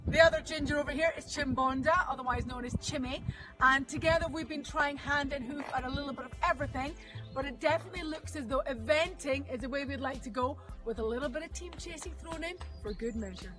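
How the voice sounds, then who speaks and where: A woman's voice: high voice, quick pace, over music. Female contestant, 30s, jokey self-intro.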